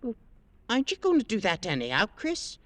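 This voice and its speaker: A plucky British drummer boy of about thirteen in a vintage radio play. The pitch leaps about with cocky, earnest swagger, and the sound is close and dry. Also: wildly swooping pitch, normal speed, a bit bright, no echo. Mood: tender, brave. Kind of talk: 1940s-50s radio drama acting.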